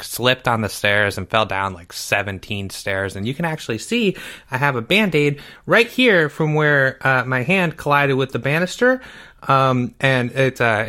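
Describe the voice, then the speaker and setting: A man voicing an excitable, naive schoolboy as a rambling, eager guest in a comic podcast interview. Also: medium pitch.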